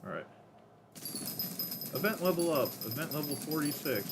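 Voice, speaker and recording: A man with a deep voice casually rambling to himself while streaming a game. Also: expressive, moderate pace, heavy background noise. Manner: rambling, self-talking, counts under breath. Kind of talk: casual gameplay commentary.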